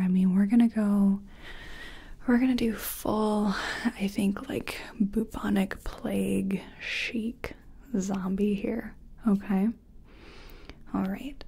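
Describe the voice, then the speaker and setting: Slow, breathy whispering woman. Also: medium pitch. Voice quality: breathy, mostly unvoiced whisper. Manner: gentle, hesitant, murmuring personal attention. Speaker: ASMR performer playing a makeup artist. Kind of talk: soft-spoken whispered ASMR roleplay.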